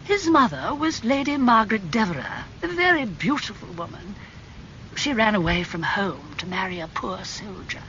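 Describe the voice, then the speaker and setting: A gossipy, genteel old aunt, put on by an audiobook reader, lifting high and drawing her words out with lively swoops. The recording is a little dull, with a faint rumble underneath. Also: normal speed. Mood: chatty. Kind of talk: audiobook character reading.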